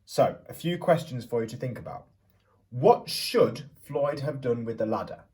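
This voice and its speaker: A man reading a picture book aloud to young children as a teacher would, theatrically, pausing to put questions to them. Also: medium pitch, animated, warm tone, recorded from a distance. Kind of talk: expressive children's storybook reading with questions to listeners.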